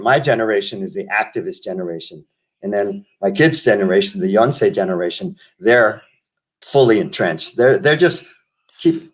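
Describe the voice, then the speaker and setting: An older man from the United States speaks in a lowish voice as an interview guest. He tells his stories slowly and reflectively, doubling back and restarting his sentences. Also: low-quality recording. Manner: reflective storyteller, many restarts and asides. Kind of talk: casual interview conversation.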